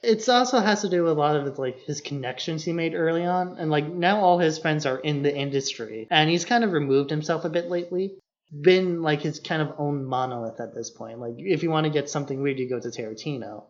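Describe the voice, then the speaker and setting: A man with a somewhat high voice co-hosts a film podcast. His talk is casual and informal, peppered with filler "like". The sound is slightly dull and lo-fi. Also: fairly quick. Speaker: podcast co-host.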